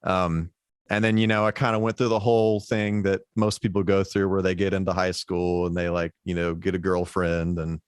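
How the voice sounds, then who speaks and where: Low-voiced baritone man, chatty guest. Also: flat delivery, normal speed, smooth, dry recording, clean studio sound. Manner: rambling, many fillers and restarts. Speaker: guest musician. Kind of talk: casual podcast conversation.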